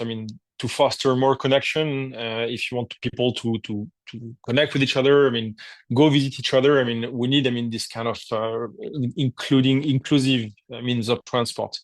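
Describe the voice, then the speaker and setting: A man's voice: medium pitch, dry recording. A man who grew up in Africa, a guest on a podcast, answering in a hesitant way with frequent fillers and restarts. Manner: hesitant, many fillers and restarts, searching for words. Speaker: guest, aviation executive. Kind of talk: podcast interview.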